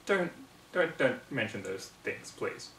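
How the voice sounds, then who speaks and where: A man's voice: medium pitch, very animated, slow pace, fairly bright tone, slight background noise. Storybook filly, read by a man: young, sweet and hesitant, recorded off the mic in an echoey room. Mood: nervous. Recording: roomy, distant microphone in home room.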